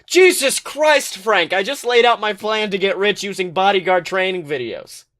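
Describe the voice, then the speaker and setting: Male reader playing exasperated would-be tough man, high-strung, rambling, comic storybook style. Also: animated, normal speed, fairly bright tone. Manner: agitated, stammering, rambling.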